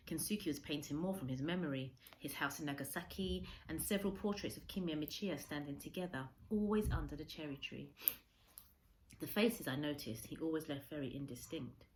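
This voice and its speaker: A woman reading a storybook aloud as a teacher would, expressively, with measured dramatic emphasis. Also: low-pitched, a little mellow, slight background noise, poor audio.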